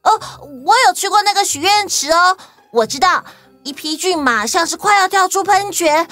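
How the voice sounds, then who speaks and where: A woman's voice: extremely high pitch, expressive, moderate pace, sharp tone, no echo, faint music behind, heavily acted. A woman voicing a cheerful, childlike, slightly teasing companion in a children's audio story. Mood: cheerful, helpful.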